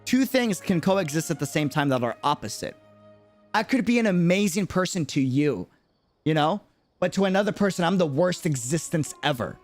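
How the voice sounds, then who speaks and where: Male game streamer, lively swooping intonation, earnest chatty explainer, faint music behind him. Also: a little high, normal speed. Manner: conversational, earnest, explaining by examples. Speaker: game livestreamer. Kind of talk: casual livestream commentary.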